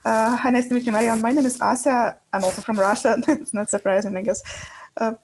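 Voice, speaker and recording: A woman's voice: fairly high pitch, level tone, a little fast, a little mellow, a little hiss or hum, rough recording. A woman giving a short, slightly hesitant self-introduction in an online class over a video call. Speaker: class participant.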